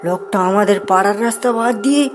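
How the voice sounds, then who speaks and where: A woman's voice: mid-range voice, fast talker, over music. A woman giving dramatic, scripted narration for a cartoon fairy tale. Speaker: storyteller.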